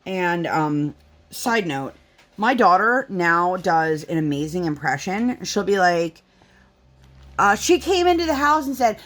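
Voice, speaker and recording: Casual mom vlogger, lower-pitched, easygoing storyteller who keeps the chat loose and acts out quotes. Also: female voice, animated, a little slow, a bit bright. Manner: chatty, rambling, lots of fillers, acts out quotes. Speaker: vlogger, mother. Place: at home.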